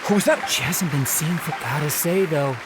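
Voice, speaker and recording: A woman's voice: sits low, expressive, normal speed. A woman voicing a curious, puzzled theatre spectator in a video game. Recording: loud music and crowd behind voice.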